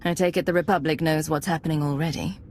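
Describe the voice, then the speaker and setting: A woman's voice: low voice, steady tone, fast, darkish tone, a little hiss or hum, natural voice. An actress as a composed, determined female agent. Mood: inquiring. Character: steady, determined female agent turned commander. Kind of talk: video game cutscene acting.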